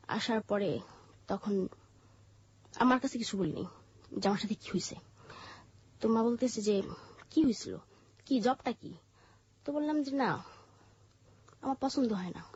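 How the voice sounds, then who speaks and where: Bangladeshi female interview guest, high-pitched husky voice, halting personal narration, dull lo-fi sound. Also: darkish tone. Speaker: interview guest telling her life story.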